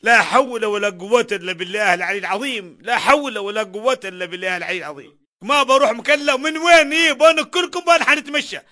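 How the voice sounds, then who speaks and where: A man playing a poor, harried father of nine who complains loudly in a comedy sketch. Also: high-pitched, quick pace. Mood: exasperated. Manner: loud, exasperated complaining.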